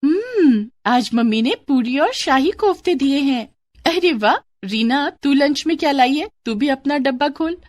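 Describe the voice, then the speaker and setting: Woman doing a high, chirpy young schoolgirl. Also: unhurried, no room sound. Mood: cheerful. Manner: excitable, chatty. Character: cheerful, teasing young schoolgirl classmates.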